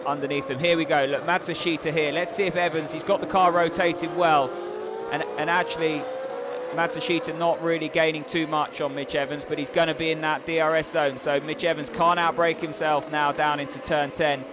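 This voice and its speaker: A man's voice: fairly high pitch, level tone, a little fast. A man as co-commentator, explaining race strategy, often restarting his sentences. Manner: explanatory, with frequent restarts and fillers. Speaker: co-commentator, expert analyst. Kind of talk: motorsport race analysis. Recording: voice over loud race-car noise.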